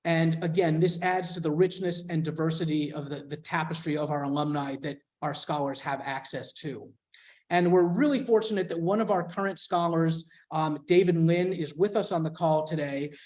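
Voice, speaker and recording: A man from a scholarship foundation presenting at an online info session in a measured way, with some fillers. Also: a little high, fairly even intonation, darkish tone.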